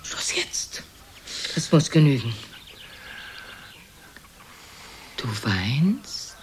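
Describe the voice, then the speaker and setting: An older woman playing a frail, sharp-tongued, reproachful old mother in a German radio play, scolding theatrically and dropping into whispers. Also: low voice, sing-song, takes it slowly, exaggerated voice. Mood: reproachful, imperious. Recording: noisy older radio recording.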